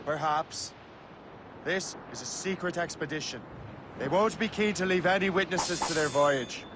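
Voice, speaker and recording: A man's voice: on the high side, moderate pace, noisy background, close to an everyday voice. A man playing a determined young Irish sea captain in a video game cutscene, blunt and resolute. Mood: wary.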